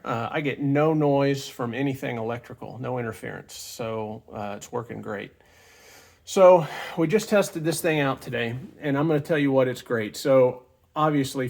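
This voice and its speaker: A middle-aged man giving a casual, plain-spoken walkthrough of off-road gear in an informal, enthusiastic way. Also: mid-range voice. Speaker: off-road hobbyist reviewing gear. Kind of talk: casual product walkthrough vlog.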